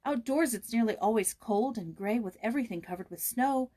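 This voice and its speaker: A woman voicing a plaintive, eager young American girl from a storybook, expressive and emphatic as she speaks. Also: a little high, fairly quick, voice pushed up, close to an everyday voice. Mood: plaintive grumbling.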